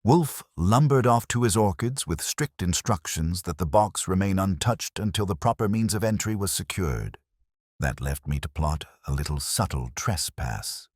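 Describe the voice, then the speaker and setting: Very deep male detective narrator. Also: big pitch swings, measured pace, husky, dry recording, clean studio sound. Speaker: audiobook narrator. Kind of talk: first-person hardboiled detective story narration.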